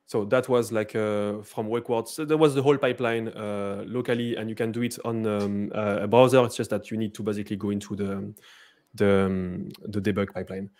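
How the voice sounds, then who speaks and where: A man with a French accent giving a live software demo, hesitant, with many fillers and restarts. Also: lowish voice, fairly even intonation, brisk. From France. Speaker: presenter.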